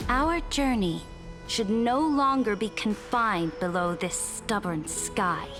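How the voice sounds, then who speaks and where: A woman's voice: medium pitch, slow, lightly acted. A woman playing an imperious, pompous empress who speaks formally and commandingly in a dramatic video game. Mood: haughty, grand. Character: imperious, haughty female Imperator who calls allies her subjects. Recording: music behind the voice.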